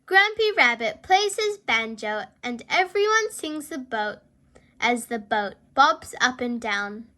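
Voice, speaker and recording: A woman narrating a picture book for young children as a storyteller, in an animated, deliberate sing-song way. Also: a little high. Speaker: children's read-aloud storyteller, channel host.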